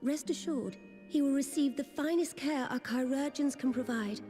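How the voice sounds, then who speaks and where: A woman's voice: high-pitched, normal speed, warm tone, close to an everyday voice. An actress playing a gracious, dignified female ruler in a video game, speaking with regal, formal archaic diction. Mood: gracious, solemn. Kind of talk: scripted video game drama. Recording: echoing chamber with music behind.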